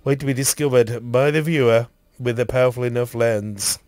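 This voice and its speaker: A man with a husky, hoarse voice from a cough, rambling casually while streaming a game and reading item text aloud. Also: mid-range voice, moderate pace, a little mellow, dry recording.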